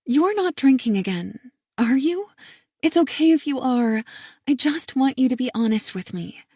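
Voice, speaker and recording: A woman voicing a worried, caring adult sister who speaks softly and pleads with earnest concern in an audiobook. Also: high-pitched, animated, moderate pace, husky, dry recording, studio quality, pitched above the performer's own voice, heavily acted. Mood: pleading. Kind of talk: audiobook character reading.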